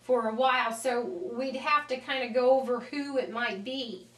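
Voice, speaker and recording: A woman talking conversationally as an interviewed animal communicator, telling stories in an animated way and quoting the dialogue as she goes. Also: on the high side, normal speed. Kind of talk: conversational storytelling and explaining. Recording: echoey room sound.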